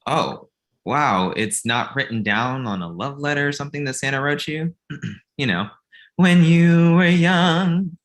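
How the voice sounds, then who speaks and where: A man's voice: a little high, expressive, measured pace. A man playing a glib, self-deprecating young filmmaker in a comedy table read, casual and wry. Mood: curious.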